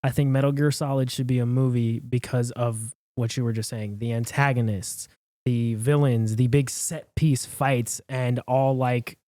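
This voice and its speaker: A man's voice: medium pitch, little pitch movement, unhurried, mellow, dry recording. A man on a podcast panel, chatting casually and informally with some slang. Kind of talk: casual debate chat.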